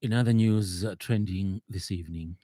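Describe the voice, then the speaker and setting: Low-pitched, mellow Zambian male newsreader. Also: moderate pace. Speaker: radio newsreader. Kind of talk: scripted news bulletin reading. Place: radio studio.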